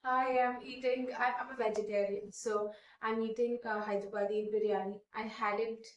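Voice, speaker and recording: A woman's voice: a little high, little pitch movement, normal speed, roomy sound, far from the mic, highs cut off, a little hiss or hum. A woman in her twenties from India whose first language is Hindi, earnestly answering interview questions with many fillers and restarts. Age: 20s.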